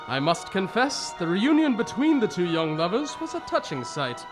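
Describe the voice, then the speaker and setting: A man playing a genial, expressive old-school gentleman. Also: high-pitched, normal speed, over music. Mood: reminiscing. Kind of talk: radio drama acting and narration.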